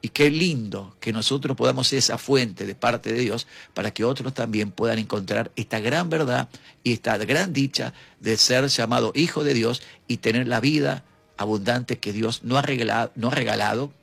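A man giving an informal devotional sermon as host, his voice husky and gravelly. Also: medium pitch, moderate pace, a bit bright, no room sound. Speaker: preacher and host introducing speakers.

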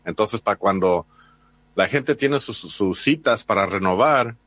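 A man's voice: on the low side, expressive, a little slow, fairly bright tone, slightly rough, no room sound. A man from the United States with a Mexican accent, a reporter answering interview questions hesitantly, with many fillers and restarts.

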